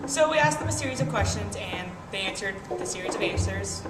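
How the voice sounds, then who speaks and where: A young woman reads the opening of a student documentary, earnest and explanatory. Her voice rings in a roomy space with a long reverb, and loud music plays underneath. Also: medium pitch, lively intonation, brisk. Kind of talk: scripted voice-over introduction.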